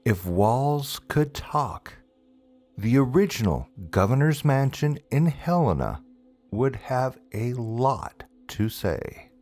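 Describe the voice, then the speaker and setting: Slow, low-voiced man narrating ghost stories. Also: darkish tone. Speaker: storyteller, narrator. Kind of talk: scripted ghost story narration. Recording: music bed behind the voice. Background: background music.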